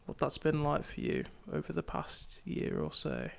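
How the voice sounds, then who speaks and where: Smooth-voiced young woman hosting a chat. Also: sits low, huge pitch swings, moderate pace. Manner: chatty, laughs easily, leads the conversation. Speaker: podcast host and youth ministry leader. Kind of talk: casual chat.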